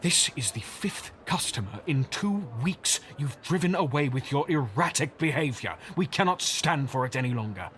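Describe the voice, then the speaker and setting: A man's voice: mid-range voice, animated, normal speed, few pauses, a bit bright, slight background noise, natural voice. A man playing a stern, exasperated bank manager in an audio drama, formal and scolding.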